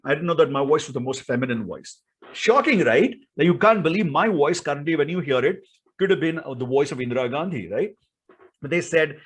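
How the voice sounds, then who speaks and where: A man with an Indian accent giving an instructional talk as a speaking coach, in an emphatic, theatrical way full of rhetorical questions. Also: fairly high pitch.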